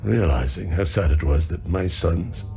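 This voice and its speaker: Gravelly, weary older father. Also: low voice, lively intonation, unhurried, dark tone, faint music behind, exaggerated voice. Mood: wistful, regretful. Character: weary, regretful older father reflecting on life. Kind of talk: video game cutscene acting.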